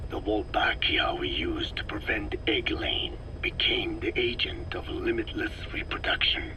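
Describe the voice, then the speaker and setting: An actor playing an elderly, erudite male scientist in a video game, speaking in a breathy rasp with slow, deliberate, grave explanations. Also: fairly low pitch, animated, in a whisper. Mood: grave, explanatory. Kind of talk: video game audio drama acting.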